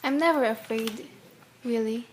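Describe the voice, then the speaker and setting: An actress playing a poised, gentle, candid young woman with a measured, genteel manner in a radio play. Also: on the high side, natural voice. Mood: poised, dry. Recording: faintly noisy radio play recording.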